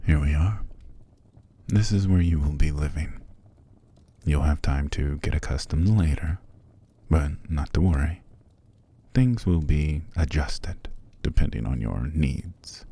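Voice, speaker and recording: Man acting a very deep, gravelly vampire master. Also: warm tone, heavily acted. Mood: commanding, possessive. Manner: slow, condescending, commanding, drifting into whispers. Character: cruel, haughty, possessive undead male vampire master. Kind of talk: ASMR roleplay, scripted character monologue.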